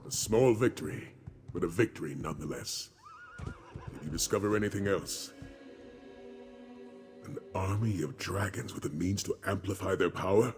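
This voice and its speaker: Guy voicing wise old-school commander type in a fantasy game, really deep, serious and formal, music in back. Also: a little mellow, lightly acted. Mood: solemn. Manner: measured, solemn, formal. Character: grave, wise male dragon-hunter commander and mentor. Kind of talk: video game dialogue acting. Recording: music behind the voice.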